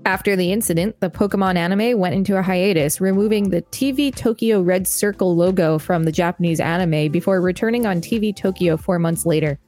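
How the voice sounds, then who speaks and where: Young female podcast co-host, chatty reader, low voice, faint music. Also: fairly quick, hardly any pauses, a little mellow. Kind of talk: reading aloud with casual commentary.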